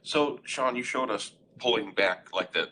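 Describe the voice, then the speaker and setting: A man's voice: mid-range voice, measured pace, bright, forceful tone, a little hiss or hum, poor audio. A man co-hosting a casual webinar from a meeting room, informally prompting his colleague with questions and summing up points. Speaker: webinar co-host asking questions. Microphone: conference room array microphone.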